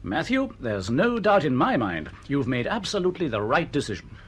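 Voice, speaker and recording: An actor playing a pompous, persuasive literary agent in a radio drama, smooth-talking and emphatic. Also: male voice, mid-range voice, big pitch swings, moderate pace, fairly bright tone. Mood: reassuring, excited. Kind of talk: BBC radio drama acting.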